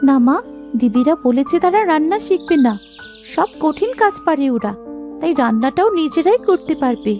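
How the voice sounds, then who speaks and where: A woman voicing an earnest, dutiful young daughter in an animated fairy tale. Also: high voice, moderate pace, soft background music.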